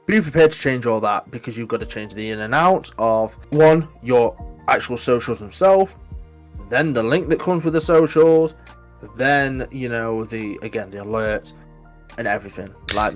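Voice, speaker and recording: A man talks on a casual podcast, animated and emphatic. His pitch sits in an ordinary middle range. Faint music plays underneath. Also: normal speed. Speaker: podcast guest, Twitch mod.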